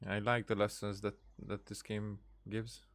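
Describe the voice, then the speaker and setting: Low-pitched man, musing game streamer. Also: little pitch movement, unhurried, background noise. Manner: musing, hesitant. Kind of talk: casual live gameplay commentary.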